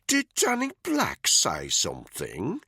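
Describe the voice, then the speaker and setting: A man's voice: on the low side, sing-song, measured pace, fairly bright tone, no echo, studio quality, voice pushed up, full character voice. A man voicing Tony, a sneering, bullying male unit commander who talks crudely. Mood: loud taunting.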